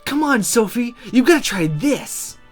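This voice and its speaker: A woman voicing an excitable, bragging young magic student who is brash and teasing. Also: a little high, unhurried, soft background music, voice pushed up. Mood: excited, boastful.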